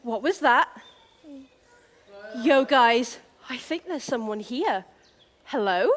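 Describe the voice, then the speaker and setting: An actress playing a vain, excitable young prince in a stage pantomime, talking in a slangy, over-excited influencer manner. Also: female voice, a little high, slow. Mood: showy, hyped. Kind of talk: theatrical pantomime stage acting.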